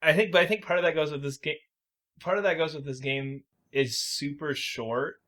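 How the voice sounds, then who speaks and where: A man co-hosting a podcast, talking informally about video games and thinking out loud as he weighs his opinions. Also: a little high, normal speed. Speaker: podcast co-host.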